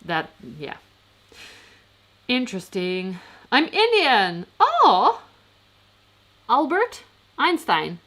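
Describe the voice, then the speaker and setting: A woman's voice: a little high, wildly swooping pitch, extremely slow, long pauses, a bit bright. A Dutch woman in her thirties, chatting casually on a livestream as she answers comments, rambling, with frequent fillers and restarts. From Netherlands. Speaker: vlogger.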